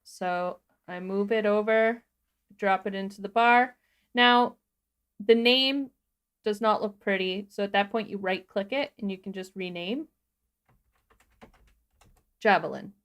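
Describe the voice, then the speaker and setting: A woman's voice: mid-range voice, measured pace, long pauses, a bit bright, smooth. A woman co-hosting a livestreamed tutorial, informally instructing and talking through each step as she does it. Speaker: livestream co-host and software instructor. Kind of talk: casual step-by-step live tutorial.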